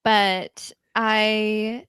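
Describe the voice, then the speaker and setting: Giggly, soft-spoken woman, dry close sound. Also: mid-range voice, flat delivery, drawn-out words, smooth, no echo. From Hawaii. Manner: warm, laughs often, gentle. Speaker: guest, healer. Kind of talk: casual interview chat. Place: home, indoors.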